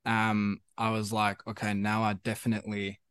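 A man's voice: fairly low pitch, monotone, moderate pace, fairly bright tone, smooth, dry recording. A young man from South Africa whose first language is Afrikaans, speaking English with a South African accent in a casual interview, rambling and repeating himself with many fillers. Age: young adult. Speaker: musician guest.

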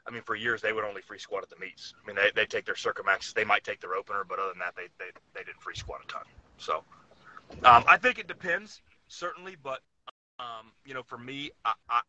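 A man's voice: lowish voice, sharp tone, poor audio. A man co-hosting a casual powerlifting podcast, giving opinionated, instructive takes as a lifter himself.

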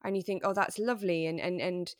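A British woman co-hosting a podcast in a casual, animated chat, slipping into mock quotes as she talks. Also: fairly low pitch, fast talker, dry recording, studio quality. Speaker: podcast co-host. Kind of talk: casual chat, reviewing a radio drama.

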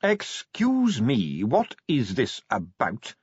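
A cold, dry-witted ruler of a city who drawls each word slowly and flatly, as a man puts him on. The voice is a little husky, and the recording is dry and slightly dull. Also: mid-range voice, dry recording, exaggerated voice. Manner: slow, flat, ironic.

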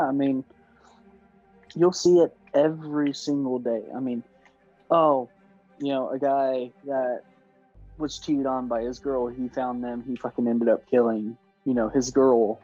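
Male podcast co-host, warm and mellow, emphatic, filler-heavy talk, dull lo-fi audio. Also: mid-range voice, steady tone, moderate pace, faint music behind. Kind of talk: casual podcast chat.

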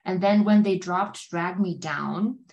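Woman in her 20s, lowish voice, rambles with tons of "like"s. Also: takes it slowly, vocal fry, a little mellow, lo-fi sound. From Germany. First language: German. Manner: rambling, filler-heavy storytelling with many "like"s. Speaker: guest author. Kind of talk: casual podcast chat.

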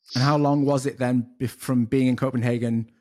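A man's voice: medium pitch, level tone, fairly quick, a little mellow. A man hosting a podcast interview over a video call, friendly and hesitant, often restarting and repeating words. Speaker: podcast host. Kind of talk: casual interview.

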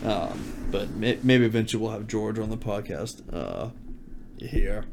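A man's voice: lowish voice, lively intonation, a little slow, background noise. A man chatting casually as a podcast co-host, telling stories in a slangy way and acting out remarks. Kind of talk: casual chat.

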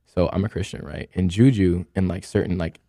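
Young man, low voice, animated, excited bursts, dry close sound, casual podcast talk. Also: a little fast, creaky, no echo. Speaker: podcast co-host, aspiring rapper.

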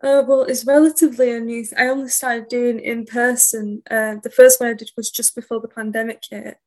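A woman poet being interviewed in a casual chat, shy and soft-spoken, rambling a little as she talks. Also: a little high, level tone, fairly quick. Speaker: poet guest.

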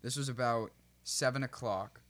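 Young man with a mid-pitched casual voice. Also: steady tone, normal speed, a bit bright. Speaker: podcast co-host. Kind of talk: casual conversational explaining.

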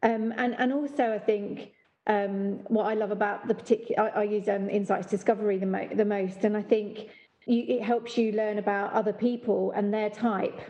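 Mellow-voiced woman coach in her fifties. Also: medium pitch, little pitch movement, moderate pace, highs cut off. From East End of London. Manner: enthusiastic, explanatory. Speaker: executive coach. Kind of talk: podcast guest discussion.

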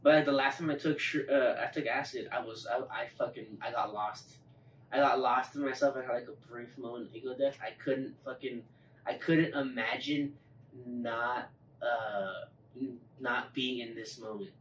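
A man's voice: mid-range voice, steady tone, moderate pace, a bit bright. A man chatting casually and telling rambling personal stories, with plenty of swearing. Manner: swears freely, rambling storytelling. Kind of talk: casual chat. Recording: echoey room, distant mic, faint noise.

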